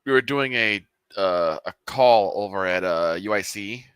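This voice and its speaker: A man's voice: on the low side, a little slow, fairly bright tone, clear, resonant tone, no echo, clean studio sound. A man working as a commentary partner, telling casual anecdotes and chiming in.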